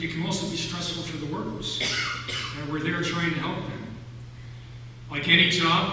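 A man's voice: sits high, bright, forceful tone. Older male preacher in a very echoey church. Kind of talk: sermon, telling stories from notes. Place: large church sanctuary, at the pulpit. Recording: echoey church hall from far off, hum.

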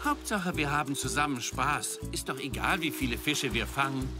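A woman's voice: rumbling low, expressive, normal speed, music in the background. A woman voicing an easygoing, fun-loving zebra lady in a children's cartoon, speaking expressively.

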